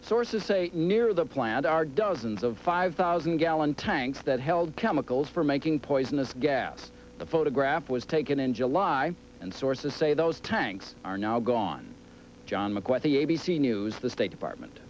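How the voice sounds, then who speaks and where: A man's voice: medium pitch, lively intonation, measured pace. A man with an American accent reading a scripted television news report. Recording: muffled old broadcast tape, faint noise.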